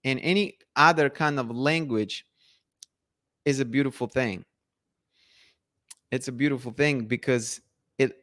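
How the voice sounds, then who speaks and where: A man hosting a casual live chat, reading viewer comments and talking with many restarts and fillers. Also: mid-range voice. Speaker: livestream host.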